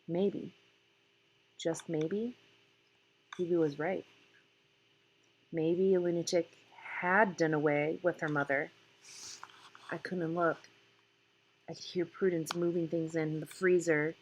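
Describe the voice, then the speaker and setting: A woman narrating a novel aloud in a measured manner, breaking sentences into short phrases with pauses. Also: fairly low pitch, breathy edge, poor audio. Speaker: audiobook reader. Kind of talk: scripted story narration, read aloud.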